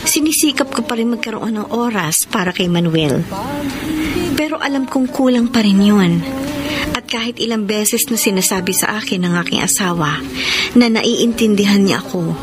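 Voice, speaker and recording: A woman's voice: mid-range voice, expressive, moderate pace, lightly acted. A woman acting as a hardworking, caring young wife in a radio drama, earnest and polite. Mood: regretful, earnest. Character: hardworking, devoted young wife working a factory job. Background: music.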